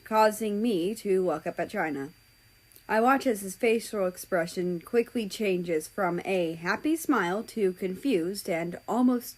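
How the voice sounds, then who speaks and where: A woman narrating a story aloud in a dramatic reading style. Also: medium pitch. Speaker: fan fiction reader.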